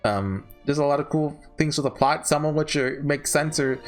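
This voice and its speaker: A man hosting a casual, unscripted ranking video, talking in a rambling, halting way and often correcting himself. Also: fairly high pitch, soft background music. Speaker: video host ranking visual novels. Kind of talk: casual unscripted tier-list commentary.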